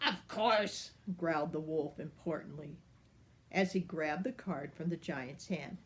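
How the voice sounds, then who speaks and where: An older woman reading a picture book aloud for children in an expressive, unhurried way. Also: low voice, slight background noise. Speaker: storyteller.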